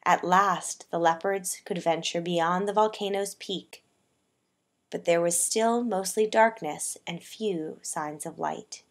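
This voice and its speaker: A woman with a slightly low voice narrates a poetic story for a puppet show. Her delivery is measured and deliberate, with phrases that soften as they close.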